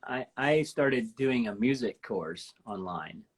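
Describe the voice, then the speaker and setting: A woman in her fifties with a deep voice, hosting a casual live-stream chat and telling stories with enthusiasm. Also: lively intonation, slow pace, breathy edge. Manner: enthusiastic, rambling storyteller. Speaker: host. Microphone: live stream from home.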